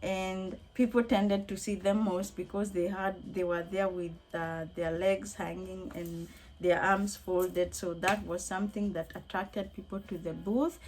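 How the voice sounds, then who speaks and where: A woman with a slightly hoarse voice, chatting casually and upbeat in a rambling vlog recap as a craft hobbyist. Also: mid-range voice, darkish tone. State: slightly hoarse from being unwell. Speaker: crochet hobbyist and craft vendor vlogger.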